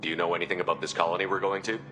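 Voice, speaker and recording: A man's voice: low-pitched, level tone, very fast, bright, forceful tone, in a large hall. A man playing a stern military commander in a video game, direct, probing and no-nonsense. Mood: firm, direct. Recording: clear background noise.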